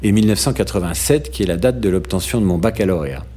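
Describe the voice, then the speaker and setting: A middle-aged man from France in an interview, talking thoughtfully with frequent "euh" pauses and restarts and some dry humor. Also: deep voice, dark tone, husky. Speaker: interview guest. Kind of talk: hesitant conversational interview.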